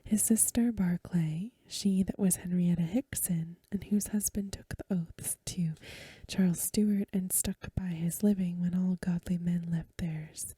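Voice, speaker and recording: A woman's voice: on the low side, fairly even intonation, a little slow, warm tone, no room sound. A woman voicing a stern, cold, godly Puritan mistress of the house, whispering in severe, archaic, formal words as in a storybook reading. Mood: cold, disdainful. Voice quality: whispered, breathy. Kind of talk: soft-spoken storybook reading.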